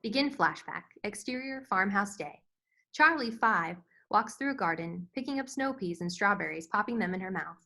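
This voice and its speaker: A woman's voice: mid-range voice, lively intonation, moderate pace, sharp tone. A woman reading stage directions as scripted narration for a screenplay table read.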